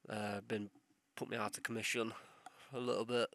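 A man's voice: on the low side, level tone, normal speed, a bit bright, no room sound. A young man hosting a solo podcast while ill, with a sore throat and sniffles, rambling and swearing as he loses his train of thought. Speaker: podcast host.

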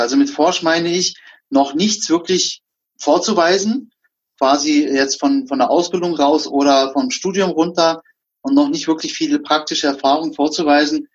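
A man's voice: on the high side, breathy edge. A man from Berlin in a casual conversation, telling work stories and acting out the dialogue. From Berlin, Germany. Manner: anecdotal, acts out dialogue. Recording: dull lo-fi sound.

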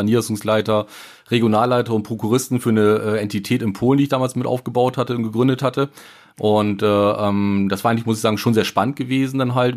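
A man, a startup founder telling his story in a podcast interview, rambling with many fillers. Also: lowish voice.

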